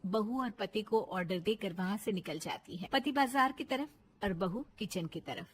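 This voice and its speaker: A woman's voice: medium pitch, normal speed, a little hiss or hum. A woman storyteller narrating a scripted moral tale in a dramatised way.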